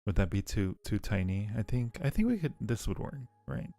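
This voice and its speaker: A man chatting casually with viewers as a livestream host, sometimes halting as he explains things. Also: fairly low pitch, very animated, gravelly, mellow, no room sound. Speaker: streamer and audio roleplay creator. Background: faint music.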